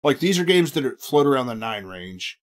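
A man in his thirties co-hosting a casual gaming podcast, relaxed and agreeable, often answering briefly. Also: medium pitch, brisk. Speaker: podcast co-host. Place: indoor studio set.

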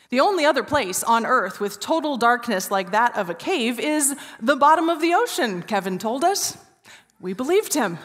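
A woman in her forties preaching an Easter sermon from a church stage, telling a story with hushed, drawn-out pauses. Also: a little high. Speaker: pastor. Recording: reverberant church hall.

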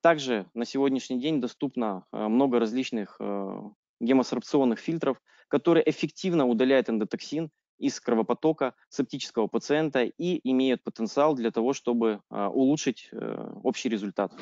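A male interpreter relays a medical lecture sentence by sentence, halting on fillers and fixing slips as he goes. His mid-pitched voice comes through dry and close, with a dull lo-fi call sound. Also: darkish tone, no echo. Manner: halting, with frequent fillers and self-corrections. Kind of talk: consecutive interpreting.

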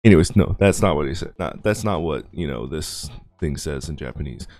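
A man's voice: a bass voice, expressive, brisk, a little mellow, no room sound. A man, a YouTuber and aspiring voice actor, rambling casually while playing a game. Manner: rambling, chatty, self-deprecating. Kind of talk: casual gameplay commentary.

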